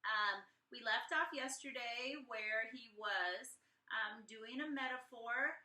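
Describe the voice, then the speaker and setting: Very bright-voiced woman teacher, echoey. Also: fairly high pitch, slow pace. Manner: chatty, expressive asides. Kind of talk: informal read-aloud lesson for students. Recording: echoey room, distant mic.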